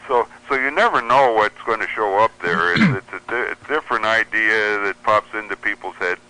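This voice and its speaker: A man's voice: lowish voice, moderate pace, sharp tone, clear, resonant tone, rough recording. A man from the United States in a casual interview, explaining things in a discursive way as a hobbyist guest. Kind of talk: casual interview talk about hobby radio.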